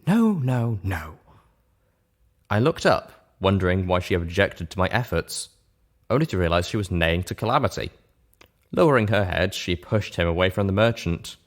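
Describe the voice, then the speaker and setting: A man's voice: low voice, a little fast. A man reading a first-person story aloud as scripted narration. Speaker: narrator, reader of fan fiction.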